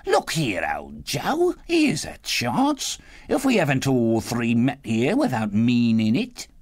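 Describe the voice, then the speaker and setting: A man reading aloud, voicing a bold, coarse old charwoman who talks in a shrill, brash way. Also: wildly swooping pitch, slow, exaggerated voice. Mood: brazen.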